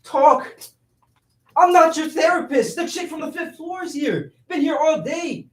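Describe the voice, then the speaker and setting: A man's voice: extremely high pitch, measured pace, fairly bright tone. A man acting a flustered, evasive young womanizer with a stammering, exasperated delivery in a staged play reading. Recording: roomy echo, distant mic.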